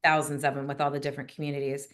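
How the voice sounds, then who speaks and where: A woman's voice: very deep voice, flat delivery, racing, fairly bright tone. A woman hosting a group coaching call, chatty and encouraging, with many fillers. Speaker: notary business coach, call host.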